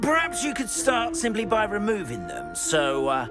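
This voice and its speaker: Eccentric, nervy older British caretaker for a fantasy game; hugely animated pitch, flowery hesitant speech, music behind. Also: male voice, moderate pace, full character voice. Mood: anxious, pleading. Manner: flustered, hesitant, florid old-fashioned phrasing. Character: jittery, eccentric older male school caretaker, theatrical and fearful. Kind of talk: video game character acting.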